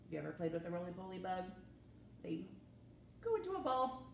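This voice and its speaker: A woman's voice: on the low side, very animated, a little fast, vocal fry. A woman presenting story time for young children, reading aloud and chatting in a singsong, encouraging way. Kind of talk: children's read-aloud and chat to young viewers. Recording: roomy, distant mic, faint background noise.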